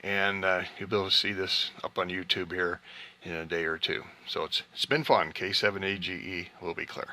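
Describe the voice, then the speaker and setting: A man with a low, bright, forward voice runs a ham radio get-together. He talks casually as host, acknowledging other operators and passing the turn along in radio procedure. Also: fairly even intonation, a little fast. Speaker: amateur radio operator hosting an on-air contact party. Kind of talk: casual radio net chat, signing contacts off.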